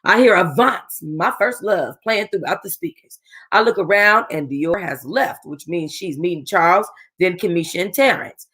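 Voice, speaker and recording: A woman's voice: lowish voice, normal speed, fairly bright tone, husky. A young woman reads a novel aloud to her book club in an easy, casual way. She trips over words, goes back to reread them, and stops now and then to talk straight to her listeners. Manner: casual, chatty asides to listeners; stumbles and rereads lines. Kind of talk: reading a novel aloud with asides.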